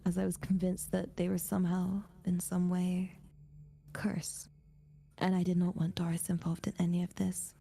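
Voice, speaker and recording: A woman's voice: on the low side, voice pushed down. A woman voicing an anxious eighty-two-year-old in a whispered, solemn scary-story confession for ASMR. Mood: grieving, haunted. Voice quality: breathy, hushed whisper. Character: anxious 82-year-old grandparent haunted by mysterious sympathy cards.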